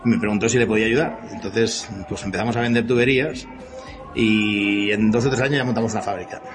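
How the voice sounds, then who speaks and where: A man's voice: fairly low pitch, fairly even intonation, fast. Spanish man in his fifties over music. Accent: Spanish from Spain. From Spain. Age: 50s. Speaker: interview guest, company CEO. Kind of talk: conversational explanation in an interview.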